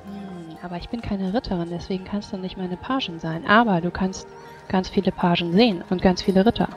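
A woman playing a tabletop game, improvising in character in a gentle, coaxing, hesitant way. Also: on the low side, brisk, highs cut off. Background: faint music.

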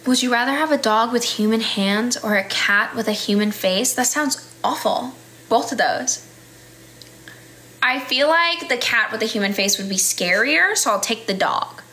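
A woman's voice: on the high side, expressive, normal speed, sharp tone. A woman in her twenties with a standard American accent, chatting casually on a livestream, reading viewer comments with frequent laughs and fillers. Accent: General American. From United States. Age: 20s. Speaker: livestream host. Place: small indoor room. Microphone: phone camera close up.

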